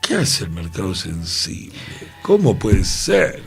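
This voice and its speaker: A man's voice: deep voice, wildly swooping pitch, slow, creaky, slightly rough, faint music behind. A man hosting a radio discussion, thinking aloud and reflective, often restarting his phrases. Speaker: radio host. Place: radio studio.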